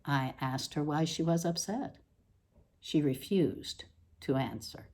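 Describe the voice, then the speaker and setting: A woman giving a deliberate, expressive literary reading of an essay, with pointed emphasis on the words. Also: sits low. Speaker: reader. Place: at home.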